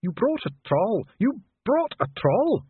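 A man's voice: high-pitched, big pitch swings, slow pace, narrow, tinny audio, slight background noise, pitched above the performer's own voice, exaggerated voice. A man voicing a flustered, indignant dwarf court official in an audiobook, hesitant and muttering. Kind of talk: audiobook character voice.

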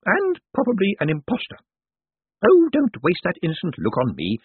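Man doing a high swooping feisty old lady. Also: wildly swooping pitch, moderate pace, breathy edge, dry recording, narrow, tinny audio, full character voice. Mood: triumphant, scolding. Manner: direct, gleeful, imperious.

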